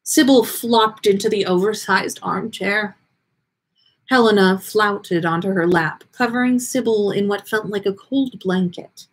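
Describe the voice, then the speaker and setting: A woman hosting a story livestream, reading aloud and chatting in an expressive, effusive way. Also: medium pitch, unhurried, slightly rough, rough recording. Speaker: podcast host reading listener stories.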